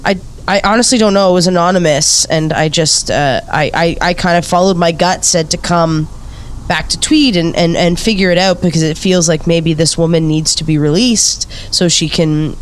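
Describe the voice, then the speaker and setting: A woman's voice: on the low side, moderate pace, lightly acted. A woman playing an earnest, polite investigative journalist in a naturalistic audio play, speaking in a hesitant, friendly, eager way.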